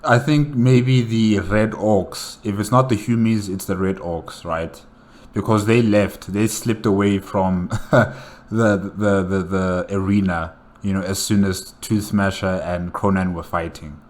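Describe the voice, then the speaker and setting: A man's voice: on the low side, steady tone, unhurried, darkish tone. A man giving casual solo reaction commentary as a host, often repeating words as he searches for the next one.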